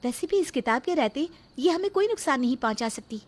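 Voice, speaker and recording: A woman's voice: high-pitched, lively intonation, fairly quick. A woman voicing a bold, determined elder sister in a cartoon, confident and commanding. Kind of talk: Hindi cartoon voice acting.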